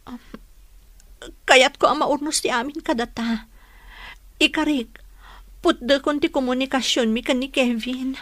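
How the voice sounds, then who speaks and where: An actress playing a repentant woman in a radio drama, speaking softly and pleading for forgiveness. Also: high-pitched, steady tone, a little slow, pauses often, dry recording. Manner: soft, pleading.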